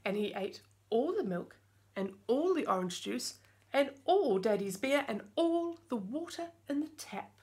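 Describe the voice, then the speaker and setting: A middle-aged woman, reading a storybook aloud to young children in an expressive way. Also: on the high side, a little slow. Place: small indoor room with bookshelves.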